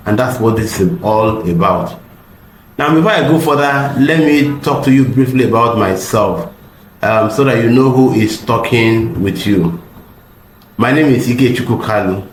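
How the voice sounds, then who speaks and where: Slightly hoarse man giving a webinar. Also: medium pitch, roomy sound, slight background noise, rough recording. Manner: earnest, stresses points by repeating words. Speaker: customer service trainer. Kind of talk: online seminar presentation.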